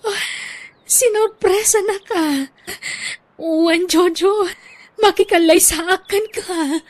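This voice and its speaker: A woman's voice: very high voice, steady tone, slow, dry recording. A woman playing a sweet, sincere young woman in love in a radio drama, speaking sentimentally with a Filipino accent. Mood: joyful.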